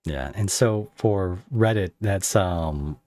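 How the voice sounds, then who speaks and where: Very deep-voiced man, casual podcast host. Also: a little mellow, dry recording, clean studio sound. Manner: halting, rambling questions. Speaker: podcast host interviewing a creator. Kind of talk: casual interview chat.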